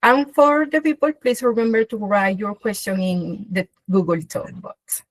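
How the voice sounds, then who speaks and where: A woman's voice: mid-range voice, steady tone, a little slow, slight background noise, low-quality recording. A woman hosting an online webinar, introducing a guest speaker by reading her bio, with small slips and restarts. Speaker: webinar host. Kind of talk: formal introduction. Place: online video call.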